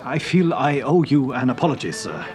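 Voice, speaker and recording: A man playing a deferential, nervous young cafe owner in a radio drama, halting and apologetic. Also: medium pitch, very animated, close to an everyday voice. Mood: anxious, apologetic. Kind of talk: British radio serial acting.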